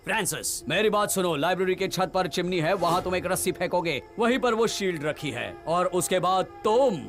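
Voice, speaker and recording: A man's voice: high voice, animated, moderate pace, fairly bright tone, faint music behind. A man voicing a bossy, short-tempered, scheming villain captain in a cartoon dub, impatient and commanding. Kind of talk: Hindi-dubbed cartoon villain acting.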